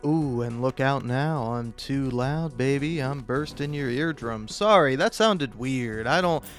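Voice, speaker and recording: A man doing casual, comedic, rambling gaming commentary, halting and stammering, self-deprecating and freely profane. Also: medium pitch, soft background music. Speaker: video creator and host.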